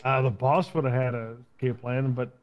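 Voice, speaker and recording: Slightly dull voice-chat audio carries a man acting a captured henchman in an online game. The voice is medium in pitch. The answers are casual and evasive, given flatly as fact without any remorse. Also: brisk, natural voice. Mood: guarded, matter-of-fact. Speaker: role-player in an online game. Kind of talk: improvised roleplay interrogation.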